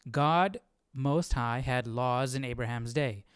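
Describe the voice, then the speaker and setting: A man's voice: medium pitch, slow, clean tone, dry recording, studio quality. A man hosting a live Bible study, informal and curious, thinking aloud as he chats and reads. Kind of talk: casual livestream chat and reading aloud.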